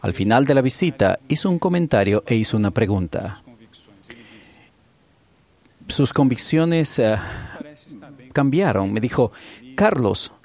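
A man delivering a church sermon in a calm, deliberate and reverent way, as an interpreter. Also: mid-range voice.

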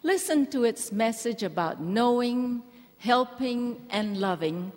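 A woman from Hawaii giving an earnest, gentle church address to a women's gathering. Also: fairly high pitch, lively intonation, slow. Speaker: church speaker. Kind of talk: measured address to a women's conference. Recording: large hall reverb.

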